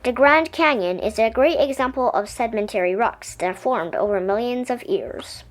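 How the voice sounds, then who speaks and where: A woman reads a picture book aloud for children. Her voice is high and highly melodic, explaining things expressively, with soft music behind her. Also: moderate pace. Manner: expressive, explanatory storytelling delivery. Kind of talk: children's science book read-aloud, scripted narration.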